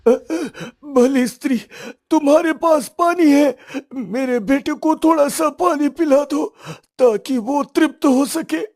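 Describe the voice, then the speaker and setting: A woman's voice: high voice, slow pace, hardly any pauses, darkish tone. A woman voicing an anguished hermit father begging plaintively for help in a children's cartoon story. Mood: pleading. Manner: pleading, plaintive. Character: pleading male hermit, anguished father of wounded son.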